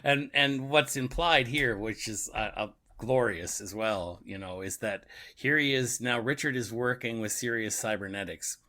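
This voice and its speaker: A man's voice: medium pitch, moderate pace, few pauses, sharp tone. A man co-hosting a podcast in casual discussion, chuckling and agreeing as he adds his commentary. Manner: reacts with chuckles and brief agreement. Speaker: podcast co-host giving commentary.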